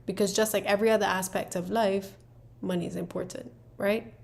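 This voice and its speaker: A woman's voice: medium pitch, a little fast, creaky. A woman from Barbados with a Barbadian accent, casually walking viewers through her planner with lots of "um" and "right?". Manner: relaxed, many fillers and "right?" tags. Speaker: planner creator and presenter. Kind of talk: casual explanatory walkthrough.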